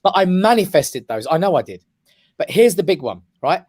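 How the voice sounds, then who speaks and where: A man's voice: high voice, big pitch swings, normal speed, darkish tone. A man, an emphatic and passionate radio guest. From England. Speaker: guest, comedy club owner. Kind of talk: casual radio interview. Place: radio studio.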